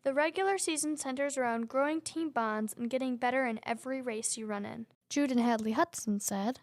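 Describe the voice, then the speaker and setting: A young woman reporter reading scripted narration in a brisk, even way. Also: sits high.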